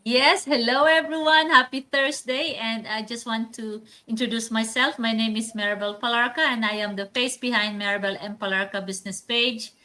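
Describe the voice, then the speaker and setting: A woman's voice: a little high, steady tone, moderate pace, hardly any pauses, pushed and bright. A woman from the Philippines with a Filipino accent, co-hosting a casual livestream. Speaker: co-host.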